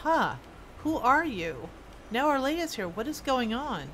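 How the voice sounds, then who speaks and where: A woman game streamer casually commenting on her play and reading quest text aloud, musing and halting as if to herself. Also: high voice, very animated, a bit bright. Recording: clear game ambience and noise. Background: video game ambience.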